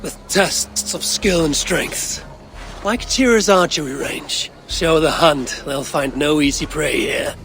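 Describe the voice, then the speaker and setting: Stern level-headed viking chief, creaky voice, dry and measured, game cutscene with faint music. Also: male voice, a little high, fairly bright tone, full character voice. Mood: grave, measured. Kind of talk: dramatic video game acting.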